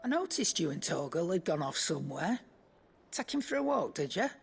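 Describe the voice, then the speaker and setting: Game role: salty, good-hearted British woman, creaky voice, animated delivery, music clearly behind. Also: lowish voice, a little fast, heavily acted. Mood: teasing, fond. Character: gruff, teasing, kind-hearted working woman. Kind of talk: video game cutscene acting. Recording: guitar music behind the dialogue.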